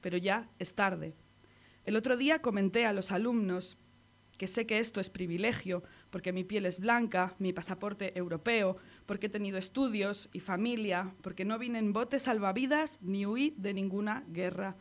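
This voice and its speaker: Spanish woman, mid pitch, reads her own poem, measured and intimate. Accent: Spanish from Spain. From Spain. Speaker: poet reading her work. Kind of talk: poetry reading.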